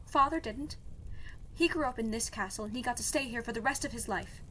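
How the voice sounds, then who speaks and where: A woman plays a defiant young princess in a fantasy audio drama. Her voice is a little high, dryly sarcastic and stubborn. Faint noise sits behind the recording. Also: brisk, fairly bright tone, close to an everyday voice, poor audio. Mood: sullen, uneasy. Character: stubborn, sardonic young princess defying an arranged marriage. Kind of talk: audio drama podcast acting.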